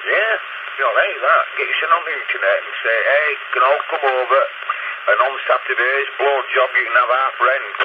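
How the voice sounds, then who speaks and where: A man's voice: mid-range voice, a little fast. Blunt Northern English man on noisy CB radio. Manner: blunt, sweary, opinionated. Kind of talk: casual radio chat. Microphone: CB radio handset. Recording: tinny CB radio, heavy noise.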